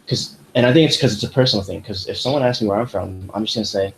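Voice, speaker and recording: Animated young man with low voice. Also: very fast, a little hiss or hum, poor audio. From United States. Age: young adult. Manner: animated, rambling, many fillers. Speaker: podcast host. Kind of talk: casual chat.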